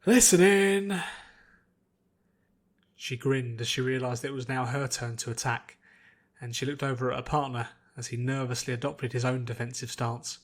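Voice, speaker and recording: A man reads fantasy fiction aloud as a narrator. His mid-pitched voice carries a scripted audiobook delivery. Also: little pitch movement, fairly quick.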